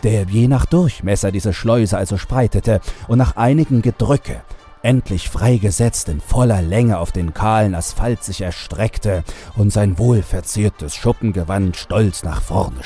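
Male horror audiobook narrator, deep and dark, deliberate dramatic delivery. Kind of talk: dramatic literary storytelling.

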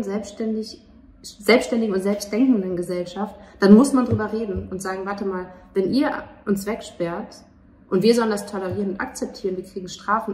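A young woman speaking casually and spontaneously as an interview guest, halting as she searches for words. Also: mid-range voice, recorded from a distance. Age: young adult. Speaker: stage performer and interview guest. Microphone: handheld interview microphone. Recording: echoey, slightly noisy, off-mic.

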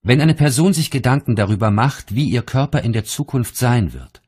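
Lively-pitched, slightly husky male audiobook narrator. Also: normal speed. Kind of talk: measured audiobook reading of spiritual teachings.

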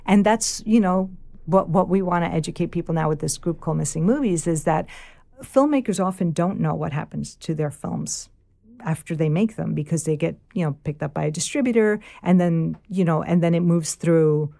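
Filmmaker guest, woman, New York accent, low mellow voice, acts out quotes. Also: moderate pace, vocal fry. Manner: animated storyteller, quoting others aloud. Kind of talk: casual interview chat.

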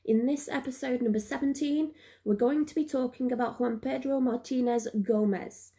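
High, mellow-voiced woman narrating true crime. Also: fairly even intonation, moderate pace. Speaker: true-crime podcast host. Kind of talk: scripted narration of a missing-person case.

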